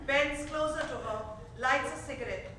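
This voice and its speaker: A woman's voice: sits high, moderate pace, pushed and bright. A woman reading scripted stage directions as narrator of a staged play reading. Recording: echoey theater from far off, faint noise.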